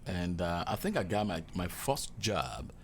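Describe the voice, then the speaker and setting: A man in his fifties with a Nigerian accent, a guest chef telling relaxed stories full of fillers. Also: deep voice, a little slow, a little hiss or hum. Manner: relaxed, chuckling anecdotes with many fillers. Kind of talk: casual interview storytelling.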